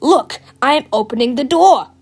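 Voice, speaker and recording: A woman playing a smug male schoolteacher in a children's story, loud, snarling and taunting. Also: sits high, animated, normal speed, slightly rough, full character voice.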